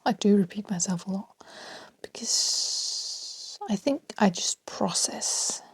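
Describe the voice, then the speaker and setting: A woman with a Danish accent rambling freely on her solo podcast, talking softly and often dropping to a half-whisper, with small laughs between thoughts. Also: mid-range voice, takes it slowly, slightly rough, no room sound. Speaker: podcast host.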